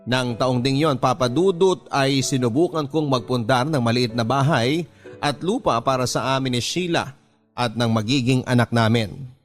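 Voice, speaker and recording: A man with a Filipino accent reading first-person dramatic narration as a radio drama storyteller, in a measured, sentimental way. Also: mid-range voice. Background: music bed.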